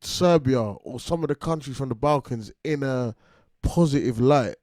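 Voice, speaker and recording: A man's voice: mid-range voice, normal speed, darkish tone, no echo, studio quality. A young man chatting in a laid-back way on a reaction video, full of slang. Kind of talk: casual reaction chat.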